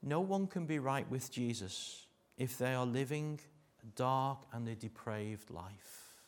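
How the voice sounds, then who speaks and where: A middle-aged man with a Liverpool accent preaching a sermon, mixing jokey asides with earnest warnings that sink to a hush. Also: mid-range voice, expressive, measured pace. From Liverpool, England.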